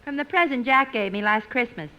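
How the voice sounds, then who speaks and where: A woman's voice: on the high side, brisk, highs cut off. A woman delivering dry wisecracks in a scripted 1950s radio comedy. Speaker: radio comedy cast regular. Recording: noisy vintage radio broadcast.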